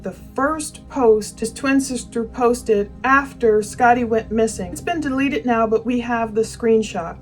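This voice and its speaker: A woman hosting a true crime commentary, talking casually and conversationally with opinionated asides. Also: a little high.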